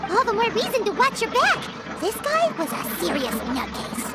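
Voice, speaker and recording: A tiny, squeaky girl companion from a video game. She is blunt and excitable, with a very high voice that swings up and down. Loud noise runs behind her. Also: moderate pace, full character voice. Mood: alarmed. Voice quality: squeaky, childlike. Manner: exclamatory, blunt, dramatic. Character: small, excitable, childlike female companion creature. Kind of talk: video game character acting.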